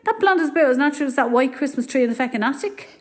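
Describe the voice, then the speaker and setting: An actress playing a blunt, sarcastic grown-up daughter with a Dublin accent in a comedy radio drama. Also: female voice, high-pitched, animated, rapid-fire. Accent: Dublin Irish.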